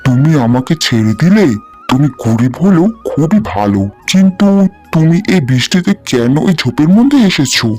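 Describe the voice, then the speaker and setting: Regal, kindly cartoon shrimp king, played by a man; declamatory, very animated pitch. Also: normal speed, faint music behind. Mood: grateful, grand. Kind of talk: cartoon fairy tale acting.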